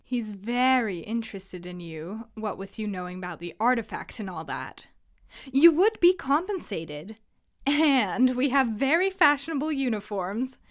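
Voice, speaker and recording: A woman's voice: fairly high pitch, expressive, measured pace. An actress playing a smug villain's henchwoman in a fantasy audio drama, taunting with fake politeness. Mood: mocking, sweet. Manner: sing-song faux politeness, taunting. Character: mockingly sweet, smug female villain's henchwoman.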